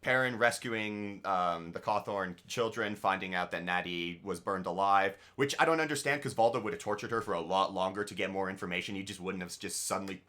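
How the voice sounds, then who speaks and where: A man co-hosting a TV reaction show in casual chat, halting and correcting himself mid-sentence. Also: deep voice, pushed and bright. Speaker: co-host of a TV episode reaction show.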